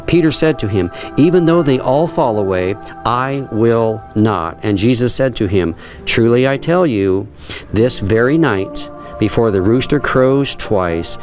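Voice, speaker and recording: A middle-aged man leading a tour and teaching, reading scripture aloud and explaining it earnestly, with frequent fillers and restarts, on a stone terrace outdoors. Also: mid-range voice. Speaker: tour guide and Bible teacher. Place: outdoor stone terrace, then an underground rock dungeon.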